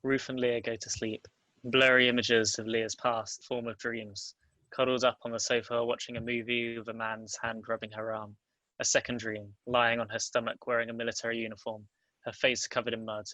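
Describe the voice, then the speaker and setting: A man's voice: mid-range voice, level tone, moderate pace, pushed and bright, no room sound, low-quality recording. A man reading stage directions as scripted narration at a table read.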